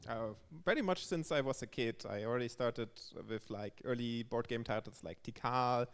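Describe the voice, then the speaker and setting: A man with a German accent answers interview questions in a casual way. His voice is medium in pitch, smooth and clear. It rings with the long echo of a large hall. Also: normal speed. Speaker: guest, board game developer. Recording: echoey hall with long reverb.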